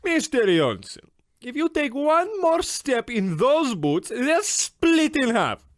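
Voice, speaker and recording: A man's voice: very high voice, sing-song, takes it slowly, no room sound, clean studio sound, exaggerated voice. A man playing a haughty, blunt and grandiose shoemaker in a radio comedy, talking in a theatrical, pushy salesman's manner. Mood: blunt, exasperated. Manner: pompous, theatrical, swooping delivery. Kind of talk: old-time radio comedy acting.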